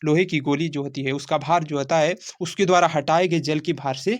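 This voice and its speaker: A man's voice: fairly high pitch, steady tone, brisk. A man teaching a quiz-style lesson, repeating phrases and answering his own questions. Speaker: teacher of exam-prep science class.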